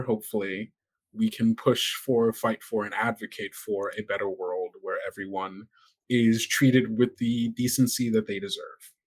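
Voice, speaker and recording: A man's voice: medium pitch, darkish tone. A man casually hosting a tabletop game podcast, talking in a loose, rambling way with small stumbles. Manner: rambling, stammering, often chuckling. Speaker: host and game master of an actual-play podcast.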